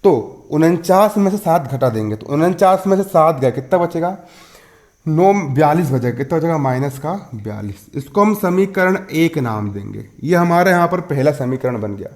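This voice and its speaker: A man in his twenties with a fairly high voice gives a school maths lesson. He explains each step, repeats key phrases, and keeps posing questions that he answers himself, checking that pupils follow. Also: animated, moderate pace, a little mellow. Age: 20s. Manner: repetitive, asks and answers own questions, checks understanding. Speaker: maths teacher.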